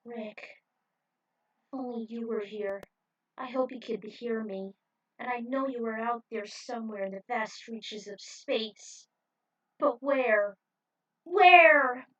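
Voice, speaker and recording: A woman voicing a fiery young female racing pilot who shouts and pleads in a high, strained voice. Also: slow pace, long pauses, muffled recording. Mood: longing. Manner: shrill, shouting, desperate.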